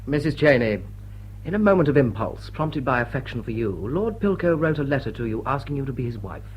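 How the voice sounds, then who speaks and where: A man's voice: medium pitch, very animated, close to an everyday voice. Formal English lord played by a man, noisy recording. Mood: formal, controlled. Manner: formal, measured. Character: smooth, formal English lord negotiating for friends. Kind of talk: classic radio drama acting.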